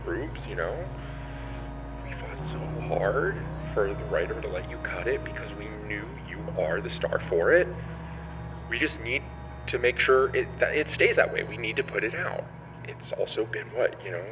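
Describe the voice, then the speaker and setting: A man playing an evasive, cautious music label executive in a radio play, halting and appeasing in corporate talk. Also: fairly low pitch, wildly swooping pitch, background noise. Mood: placating. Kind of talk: naturalistic radio play acting. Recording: music behind.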